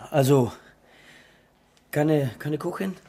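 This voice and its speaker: An actor playing a patient, polite sanatorium attendant who coaxes solicitously in a radio drama. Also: male voice, fairly high pitch, slow, warm tone, a little hiss or hum. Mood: coaxing.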